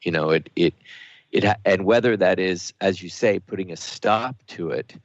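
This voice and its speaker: A man's voice: low voice, moderate pace, no echo, lo-fi sound. A man hosting a radio show in a conversational style.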